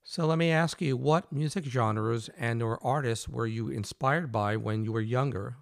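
A man's voice: mid-range voice, normal speed, vocal fry, no room sound. Upbeat male interviewer, dry sound. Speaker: podcast host.

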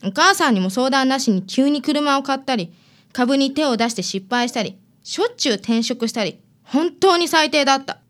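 A stubborn young woman consulting a detective in a radio drama, acted by a woman. Her voice is high and moves animatedly in pitch. She talks in a casual, youthful way. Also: expressive, moderate pace. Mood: bitter.

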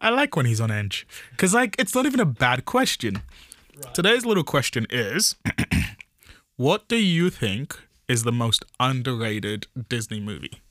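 A man's voice: medium pitch, sing-song, unhurried, a bit bright, no room sound. A young man co-hosting a podcast, rambling and giggling in casual chat. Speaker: podcast co-host.

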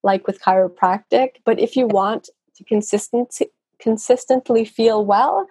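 A woman's voice: on the high side, measured pace, darkish tone, husky, dry recording, poor audio. A woman, guest on a podcast interview over a video call, talking conversationally with fillers and restarts. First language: English. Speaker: guest, nutrition consultant.

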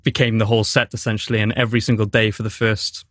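A man's voice: fairly low pitch, level tone, quick pace, a bit bright, no room sound, studio quality. A young man from Newport with a Welsh accent, chatting casually about acting, with many fillers and restarts. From Wales. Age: young adult. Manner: hesitant, lots of fillers and restarts. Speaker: drama graduate. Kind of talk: casual chat.

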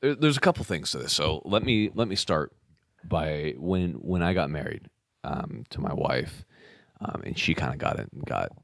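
A man who reviews firearms, talking in an animated, casual chat, swearing and walking through things step by step. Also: very deep voice, moderate pace, gravelly, dry recording, clean studio sound. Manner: animated, swears, says "dude", explains step by step. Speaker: gun reviewer.

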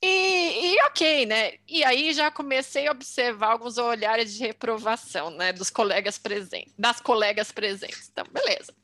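Brazilian woman in her twenties, podcast co-host, high voice, dry close sound. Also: moderate pace, few pauses, pushed and bright, no room sound. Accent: Brazilian Portuguese. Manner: laughing often, chatty anecdotes. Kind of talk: casual chat, storytelling.

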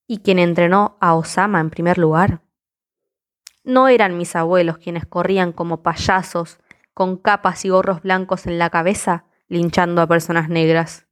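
A woman reciting spoken word poetry in a defiant, impassioned, rhythmic delivery. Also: fairly low pitch.

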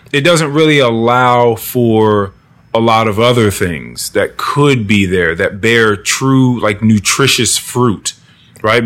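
A man's voice: lowish voice, fairly bright tone. A man hosting a casual podcast conversation, talking loosely and profanely and acting out the people he quotes. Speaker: podcast host.

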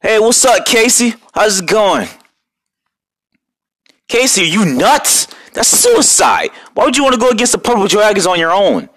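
A man voicing a hot-headed young male turtle ninja with a gruff New York accent and a tough way of talking. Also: mid-range voice, very animated, moderate pace, a bit bright, no room sound, clean studio sound. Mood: loud, brash. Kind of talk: fan voice acting of a cartoon.

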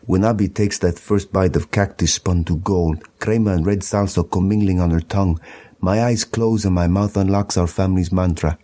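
A man's voice: deep voice, fairly even intonation, normal speed, mellow. A man reading a narrative poem in a literary recital style. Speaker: poetry reader.

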